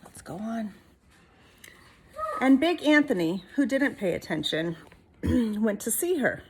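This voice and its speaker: A woman reading a storybook to children like a teacher, expressively, pausing to put questions to the listeners. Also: on the high side, very animated, a little hiss or hum. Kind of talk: storybook read-aloud for children.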